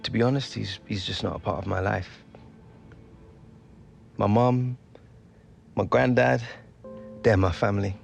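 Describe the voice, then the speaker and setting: Low-voiced young English footballer. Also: male voice, steady tone, measured pace, vocal fry, pauses often, heavily acted. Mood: guarded, reflective. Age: young adult. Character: earnest, modest young star footballer. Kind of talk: naturalistic video game cutscene acting. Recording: loud music behind the voice. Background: music.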